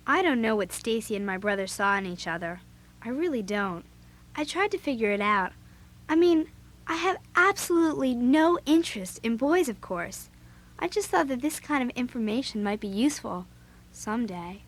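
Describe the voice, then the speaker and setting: A high, youthful girl's voice with big swings in pitch. She is bossy and easily exasperated, telling her story emphatically in an audio drama. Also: animated, moderate pace. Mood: wry narrating. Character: bossy, exasperated young girl narrating her club story. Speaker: narrator and lead. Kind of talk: scripted audio drama, narration and dialogue.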